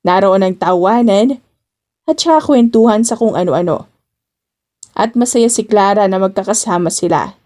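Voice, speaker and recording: A woman's voice: medium pitch, lively intonation, moderate pace, long pauses, darkish tone. A woman with a Filipino accent narrating a scripted story as an audiobook reader.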